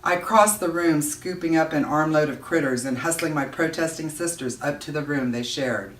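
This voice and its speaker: A woman giving an expressive read-aloud of a children's novel, narrating as a storyteller. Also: sits low, moderate pace. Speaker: audiobook-style reader. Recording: roomy echo, distant microphone.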